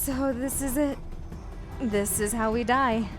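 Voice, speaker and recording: A frightened but blunt young woman, played by an actress in a sci-fi audio drama. Her fairly high voice is slow, incredulous and questioning, with clear music behind it. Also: fairly even intonation. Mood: despairing.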